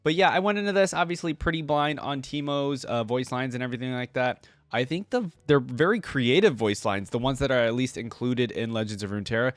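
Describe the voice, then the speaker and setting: A man's voice: mid-range voice, a little fast, hardly any pauses, dry recording. A man hosting a reaction video in a casual, easygoing, chatty way, sometimes reading aloud.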